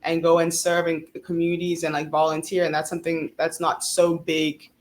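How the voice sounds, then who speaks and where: A woman's voice: low voice, little pitch movement, normal speed. A young woman from South Africa whose first language is Swahili, speaking as a student guest in a casual interview, chatty, earnest and emphatic. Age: young adult.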